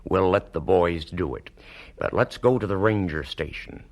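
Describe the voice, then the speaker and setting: Very deep-voiced, shrewd investigator. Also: male voice, moderate pace, creaky. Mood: brisk, commanding. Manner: clipped, businesslike, authoritative. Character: cool, shrewd government investigator in command. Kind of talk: 1970s radio drama acting.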